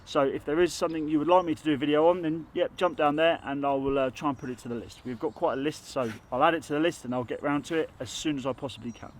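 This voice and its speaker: A man's voice: on the high side, steady tone, fast talker, hardly any pauses, slight background noise. A man with a sore throat, chatting casually while he teaches bricklaying on site. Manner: chatty, rambling, self-admittedly waffles. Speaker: bricklayer teaching on his channel. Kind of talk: casual how-to tutorial.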